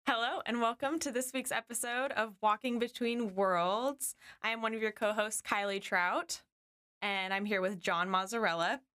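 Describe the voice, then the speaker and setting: A woman's voice: on the high side, steady tone, moderate pace, pushed and bright. A woman co-hosting a podcast, friendly and upbeat, asking questions with a few restarts. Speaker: podcast co-host.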